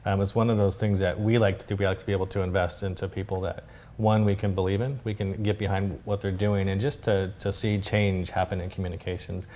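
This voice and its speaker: A man hosting a casual interview, friendly and a bit halting, often repeating words. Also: low-pitched, level tone, mellow.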